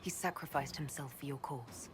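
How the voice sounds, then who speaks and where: A woman voicing a steady, diplomatic quest leader in a fantasy game, speaking in a composed, direct and earnest way. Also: extremely low pitch, normal speed, a little hiss or hum. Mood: firm. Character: steady, diplomatic heroine leading a quest, Inquisitor. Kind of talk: scripted fantasy game acting.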